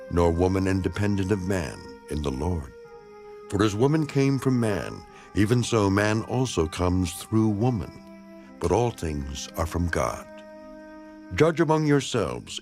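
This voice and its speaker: A man giving a dramatized scripture reading in a solemn, declamatory, measured manner. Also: on the low side, darkish tone. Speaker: narrator of a dramatized Bible reading. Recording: voice over background music. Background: music.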